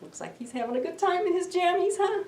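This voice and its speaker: Animated woman reading to children, roomy sound. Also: very high-pitched, fast talker. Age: middle-aged. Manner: dramatic, questioning asides to young listeners. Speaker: library storytime host. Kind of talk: animated picture-book read-aloud for children. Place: indoor library storytime room. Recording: roomy, distant camera microphone.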